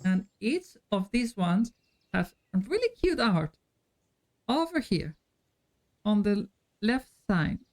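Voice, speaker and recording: A woman streamer chatting casually with her viewers in a friendly, unhurried way while playing a game. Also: medium pitch, big pitch swings, darkish tone.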